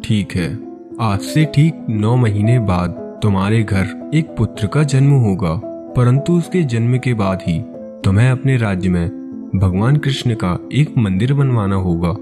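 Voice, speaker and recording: A solemn ascetic sage from a cartoon fable, voiced by a man. He speaks formally in a low voice with calm authority, while plucked-string music plays clearly behind him. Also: unhurried. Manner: grave, formal pronouncements. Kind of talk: cartoon moral-story voice acting.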